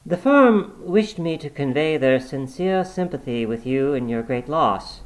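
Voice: mid-range voice, expressive, a little slow, pitched above the performer's own voice, lightly acted, low-quality recording. A narrator voicing a formal, apologetic male company messenger in a story, stiff and deferential.

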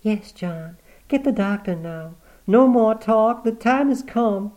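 A man reading aloud, voicing a sharp-tongued, stubborn old woman on her deathbed who scolds and commands. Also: mid-range voice, expressive, slow, pitched above the performer's own voice. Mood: pleading. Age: 80s.

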